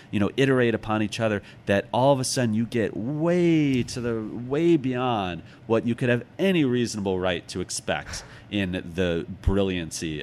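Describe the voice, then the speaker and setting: A middle-aged American man talking casually as an interviewed author, reflective and thinking aloud. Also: on the low side. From United States, Chicago. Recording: clearly noisy background.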